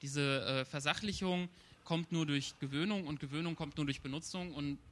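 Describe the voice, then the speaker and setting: Male panel guest, bright, slightly high voice, measured and argumentative. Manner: measured, restarts phrases while arguing. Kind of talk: panel discussion.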